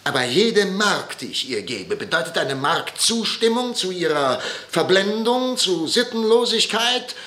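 Forceful, wounded old patriarch. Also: male voice, high-pitched, big pitch swings, unhurried, few pauses, full character voice. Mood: indignant. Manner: grave, declamatory, indignant. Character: wounded, stern older professor, patriarch of the family. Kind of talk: radio drama acting. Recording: echoey room.

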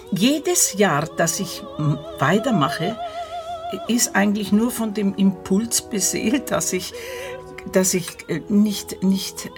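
An older woman reflecting in a documentary interview, searching haltingly for her words and posing questions to herself. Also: medium pitch.

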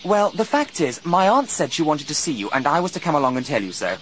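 A man's voice: on the high side, sing-song, quick pace, a bit bright, slight background noise, poor audio. An actor playing an eager, gushing young man in a radio drama. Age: young adult. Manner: gushing, breathless politeness.

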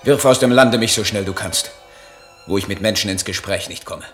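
Low-voiced, tormented poet played by a man, declaiming. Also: a bit bright, faint music behind, close to an everyday voice. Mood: anguished, wailing. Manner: theatrical, drawn-out declamation. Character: tormented male poet, solemn, proud, half-mad. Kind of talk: declamatory German radio drama acting.

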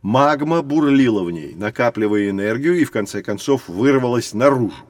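A man's voice: medium pitch, expressive, moderate pace, dry recording, close to an everyday voice. A man playing a kindly, knowledgeable professor, patiently explaining things in a children's educational audio drama. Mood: explanatory. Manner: patient, didactic.

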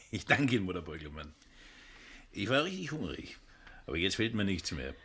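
Sidekick: wry, jaunty, talkative captive in a radio thriller, fairly low pitch. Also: male voice, very animated, normal speed, pushed and bright, slightly rough, full character voice. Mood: genial, hungry. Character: wry, chatty male companion in captivity, fond of food. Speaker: supporting actor. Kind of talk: 1970s German radio crime drama acting.

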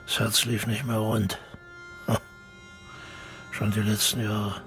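A man's voice: fairly low pitch, completely flat delivery, slow pace, a bit bright, soft background music. An actor playing a bitter older widowed man in a radio drama, blunt and colloquial, speaking in terse fragments. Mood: brooding, reminiscing. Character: gruff, bitter old widowed drinker defending his friend. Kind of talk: naturalistic radio drama dialogue.

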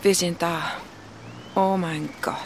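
A woman's voice: on the low side, fairly even intonation, slow pace, slight background noise. An actress playing a nervous, candid young woman in a radio play, with a hesitant, confiding manner. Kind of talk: naturalistic radio play dialogue.

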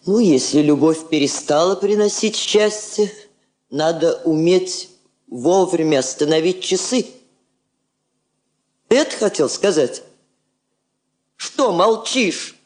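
A woman's voice: fairly low pitch, animated, takes it slowly, long pauses, a little mellow. An actress playing a poised, shrewd middle-aged Soviet woman ambassador, tender but resolute, in theatrical radio drama style. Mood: sad, resolute. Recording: roomy 1960s radio play recording.

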